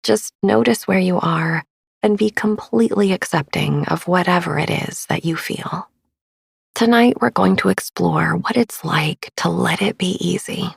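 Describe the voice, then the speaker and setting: A woman speaks in a hushed, slightly low voice with a soft edge of creak. She guides a sleep meditation as a podcast host, gentle and soothing throughout. The sound is close and dry. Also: measured pace, no room sound.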